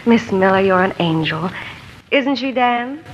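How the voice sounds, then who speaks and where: A woman's voice: medium pitch, expressive, unhurried, dull audio, a little hiss or hum, close to an everyday voice. A woman playing a scheming con woman. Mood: sweet. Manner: honeyed then cold. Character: scheming female con artist villain. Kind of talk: 1950s radio drama acting.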